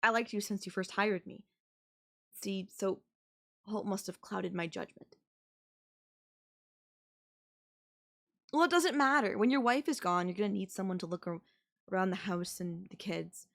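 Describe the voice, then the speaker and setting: A woman performs a young female babysitter with an obsessive crush on her employer. Her mid-pitched voice is conversational yet pleading, defensive and possessive. It plays as intimate, anime-flavored audio roleplay addressed to one listener. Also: normal speed, vocal fry, a bit bright. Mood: hurt, subdued.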